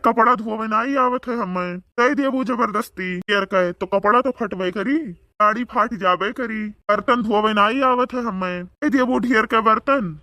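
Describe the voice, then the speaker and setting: A man's voice: high voice, a little slow, bright, forceful tone, no room sound, full character voice. A man voicing a boastful, bickering village man in a comedy cartoon, speaking with an Awadhi accent and taunting banter. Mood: grumbling. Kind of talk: comedic cartoon dialogue in rural dialect.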